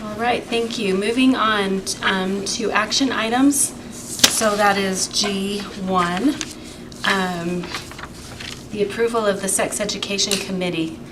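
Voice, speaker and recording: A woman chairs a school board meeting, moving through the agenda in a slow, procedural way. She speaks very slowly, with fillers between items. She is picked up from a distance over clear room noise. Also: medium pitch.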